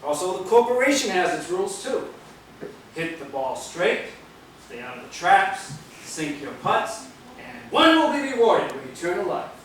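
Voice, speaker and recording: A very reverberant, far-off stage recording of a smug corporate tyrant. His voice sits high. He lectures pompously, pausing with menace as if every word were a verdict. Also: very animated, fairly bright tone. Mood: condescending, smug. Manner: pompous, lecturing, deliberate pauses. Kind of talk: theatrical stage acting. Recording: distant, echoey theater videotape with noise.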